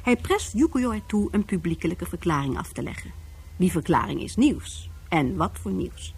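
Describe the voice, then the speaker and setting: A woman narrates a scripted radio play. Her voice is slightly low, very husky and mellow, with lively intonation. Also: normal speed. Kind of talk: scripted science fiction radio drama narration.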